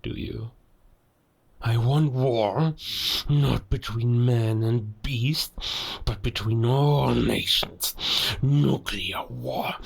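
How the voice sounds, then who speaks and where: A man playing a menacing, ancient werewolf who scorns humankind, speaking in a gravelly, theatrical, deliberate way in a cinematic audio roleplay. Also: mid-range voice. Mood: menacing, taunting. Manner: deliberate, theatrical, philosophical villain monologue with dramatic pauses.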